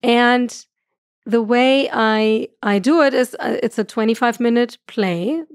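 Podcast guest, German-accented woman, middle-aged, expressive and earnest, dead-dry studio sound. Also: on the high side, a little slow, no echo. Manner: earnest, gestures a lot, laughs often. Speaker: guest astrophysicist. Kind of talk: podcast conversation. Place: room with curtains. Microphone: studio mic on boom arm.

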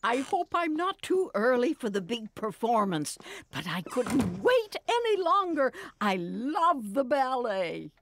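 A woman's voice: on the high side, sing-song, slow pace, exaggerated voice. A woman voicing a jolly, doting old grandmother bunny in a children's cartoon, with a playful, theatrical, gushing manner. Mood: jolly, joking. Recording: music bed behind dialogue.

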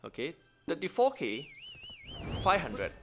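A man's voice: on the high side, wildly swooping pitch, unhurried. A man giving casual gameplay commentary in short exclamatory bursts, with non-native English phrasing. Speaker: gaming YouTuber. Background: game music.

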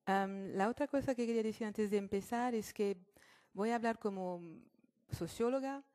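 A woman giving a public lecture as a guest speaker in non-native Spanish, halting as she searches for words. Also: medium pitch, warm tone. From born in Morocco, French mother, Catalan family. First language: not Spanish; French-schooled. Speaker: guest speaker, sociologist and activist.

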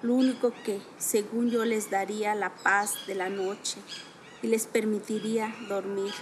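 A woman whose first language is Tzotzil, reading her own written account aloud in a measured, reflective way. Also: mid-range voice, flat delivery, noisy background.

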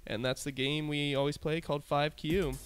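A man's voice: on the high side, little pitch movement, normal speed, soft background music. Laughing male podcast host. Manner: laughs often, reads listings with fillers. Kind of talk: casual interview and event listings.

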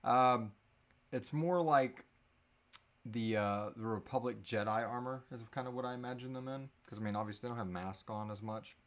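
A man in his thirties hosting a casual live question-and-answer chat, often halting and trailing off mid-thought. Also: medium pitch, fairly bright tone. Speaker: host answering audience questions. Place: small indoor room.

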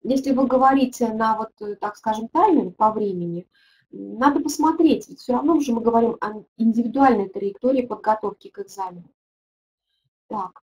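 A woman's voice: on the high side, moderate pace, darkish tone, a little hiss or hum, rough recording. A middle-aged woman, a teaching methodologist, leading a webinar and answering viewer questions, thinking aloud with frequent hesitations.

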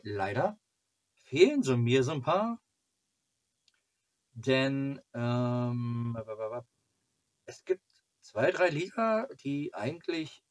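Man, forties, mid-pitched voice. Casual home vlog host, halting delivery, muses to himself while showing items. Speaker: fan club video host. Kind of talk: casual chat reviewing fan merchandise. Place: small cluttered home room, indoors.

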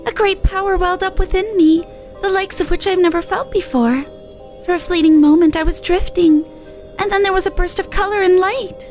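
A tiny, wondering spirit from a fantasy game, speaks in an extremely high voice. It is innocent, devoted and formal, with faint music behind. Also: female voice.

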